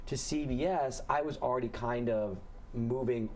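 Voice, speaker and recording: A comedian in his forties, a man, chatting casually as a guest on a morning radio show, piling up stories with quick restarts. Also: medium pitch, a little hiss or hum. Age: 40s. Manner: animated storytelling, quick restarts.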